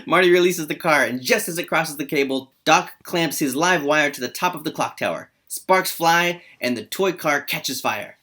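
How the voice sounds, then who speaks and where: A man's voice: on the high side, moderate pace, hardly any pauses, bright, forceful tone. A man hosting a table read and narrating the screenplay's stage directions.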